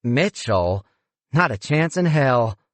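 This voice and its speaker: A man's voice: mid-range voice, sing-song, takes it slowly, no echo, voice pushed up. A man voicing an irritable female fundraising chief who talks bluntly and sneers. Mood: curt irritable.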